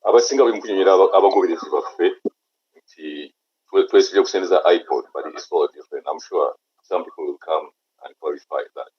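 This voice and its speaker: Deep husky Ugandan man on a call. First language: Luganda. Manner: mixes English and Luganda, halting. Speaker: chapter leader. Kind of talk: online meeting remarks. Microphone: video call. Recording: dull lo-fi call audio.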